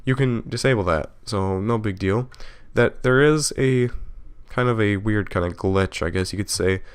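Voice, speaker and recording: A man narrating a software tutorial casually, explaining step by step in an informal way and correcting himself as he goes. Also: lowish voice, moderate pace, smooth. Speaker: video tutorial host.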